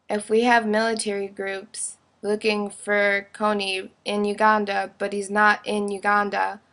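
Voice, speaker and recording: A woman's voice: medium pitch, a bit bright. A young woman giving a casual, opinionated video monologue in a blunt, informal way, peppering her sentences with "like".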